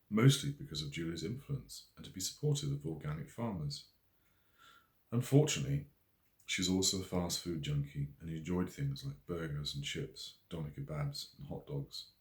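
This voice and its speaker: A man reading scripted narration from his own novel as an audiobook. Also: a bass voice. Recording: echoey room.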